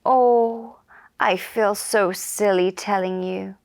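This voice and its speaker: A woman voicing a gentle, lonely male shark in a children's puppet show, soft and shy, speaking in simple, earnest phrases. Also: medium pitch, fairly even intonation, slow. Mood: embarrassed.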